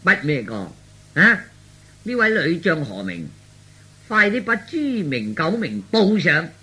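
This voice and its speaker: A man's voice: a little high, wildly swooping pitch, slow, bright, forceful tone, breathy edge, pitched below the performer's own voice, heavily acted. A man voicing a pompous, blustering imperial envoy in Cantonese storytelling, declaiming with theatrical haughtiness. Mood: haughty challenge.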